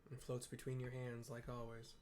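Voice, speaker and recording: A man's voice: medium pitch, monotone, moderate pace, a little mellow, a little hiss or hum. A man playing a video game and casually describing what happens on screen for listeners, with loose, hesitant phrasing. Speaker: player narrating a game. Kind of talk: casual live audio description of gameplay.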